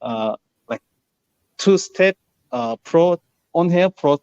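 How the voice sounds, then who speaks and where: A man with a Korean accent, whose first language is Korean, answering briefly and softly in halting English as a salon owner. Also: on the high side, animated, clear, resonant tone. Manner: soft-spoken, brief, halting broken English. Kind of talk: casual interview answers. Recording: muffled lo-fi live stream.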